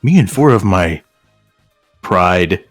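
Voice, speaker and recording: Brooding reborn lion read by a man, low register, mock-dramatic, halting fan-fiction delivery, light music underneath. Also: expressive, no echo. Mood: mock-serious. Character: murdered human child reborn as a brooding lion. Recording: music behind the voice.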